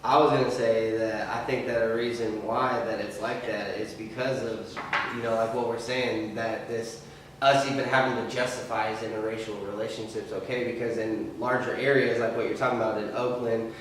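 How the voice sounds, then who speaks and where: A man explaining his views in a casual group podcast, thoughtful and measured. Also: fairly low pitch, flat delivery. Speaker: podcast co-host. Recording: echoey room, distant mic, some noise.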